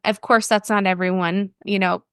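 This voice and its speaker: A woman's voice: medium pitch, flat delivery, moderate pace, clear, resonant tone, dry recording. American woman explaining, dry close sound. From New Orleans, United States. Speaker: podcast guest, therapist and counselor. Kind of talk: conversational explanation.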